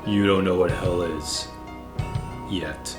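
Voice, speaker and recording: A man playing a brooding, vengeful masked vigilante in an audio drama, speaking gravely and with menace. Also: deep voice, steady tone, slow. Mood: menacing taunting. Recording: music behind the voice.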